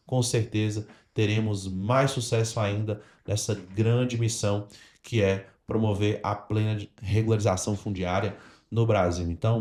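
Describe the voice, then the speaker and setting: Brazilian guy podcast host, lowish voice, earnest and kinda formal, talking right to the audience. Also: darkish tone. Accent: Brazilian Portuguese. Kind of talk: podcast talk, addressing the audience directly.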